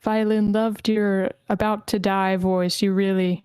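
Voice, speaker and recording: A woman's voice: medium pitch, flat delivery, unhurried, darkish tone, clear, resonant tone, no echo, clean studio sound. A woman hosting a script table read, moderating casually and reading aloud. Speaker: host of script table reads.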